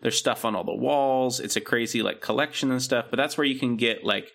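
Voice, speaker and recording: A man's voice: medium pitch, brisk, vocal fry, fairly bright tone. A man co-hosting a podcast, chatting casually and rambling with many fillers and restarts. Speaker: podcast co-host. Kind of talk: casual chat.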